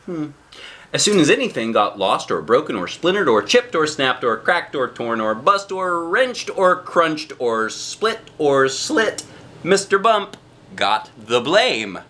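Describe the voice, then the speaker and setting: A man reading a children's storybook aloud in an expressive way, with drawn-out emphasis on key phrases. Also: fairly high pitch. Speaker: storybook reader, a dad.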